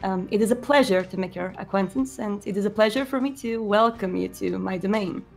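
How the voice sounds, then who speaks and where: A woman voicing a courteous, gracious male vampire prince in a tabletop game, with formal, measured and polite speech. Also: medium pitch, vocal fry, a little mellow, soft background music, natural voice.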